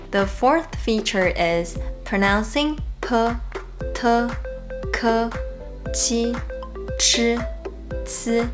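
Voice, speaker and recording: Taiwanese-accented woman teaching pronunciation. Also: on the high side. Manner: clear, explanatory, slows down on example sounds. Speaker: Mandarin teacher. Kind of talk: scripted pronunciation lesson.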